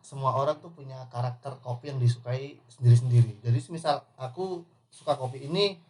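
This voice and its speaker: A young man from Indonesia, a barista guest, talking in a relaxed, rambling way with restarts in a casual podcast chat. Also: mid-range voice, a little fast, low-quality recording. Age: young adult.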